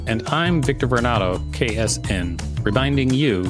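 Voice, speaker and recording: A man's voice: medium pitch, expressive, moderate pace, music in the background. A man co-hosting a podcast, reading encyclopedia text and bantering casually. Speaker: podcast host.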